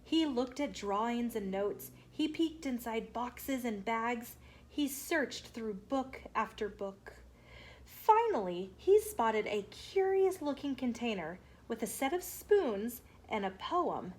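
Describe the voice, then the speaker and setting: A woman narrates a children's story in a fairly high voice. Her pace is slow and deliberate, with the expressive delivery of a picture-book read-aloud. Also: a little hiss or hum.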